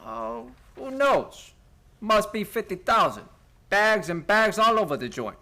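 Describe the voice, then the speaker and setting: A man playing a slow, puzzled postal worker sidekick in a radio play. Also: high-pitched, very animated, sharp tone, full character voice. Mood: slow, musing.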